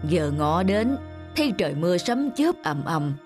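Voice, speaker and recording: An actor voicing a solemn old male Taoist sage in an animated folk tale, speaking gravely and with measured words. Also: mid-range voice, soft background music, close to an everyday voice. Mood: relieved, gentle.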